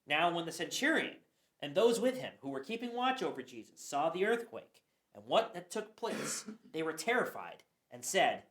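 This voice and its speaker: A man's voice: a little high, very animated, moderate pace, fairly bright tone. A man narrating scripture for a church radio play, reading earnestly and sometimes stumbling. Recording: echoey room.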